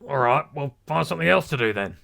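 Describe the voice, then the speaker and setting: A gravelly British monster hunter acted by a man, blustering and blunt, with a forceful edge to the voice. He is a middle-aged veteran talking casually to camera in an animated mockumentary, bossy and deadpan about his own exploits. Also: medium pitch, quick pace, heavily acted. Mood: irritated, bossy.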